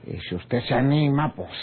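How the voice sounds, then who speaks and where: Sly, slow-talking Mexican ranch rogue, muffled. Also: male voice, medium pitch, breathy edge, heavily acted. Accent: rural northern Mexican. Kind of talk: old-time radio drama acting. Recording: muffled, noisy old radio recording.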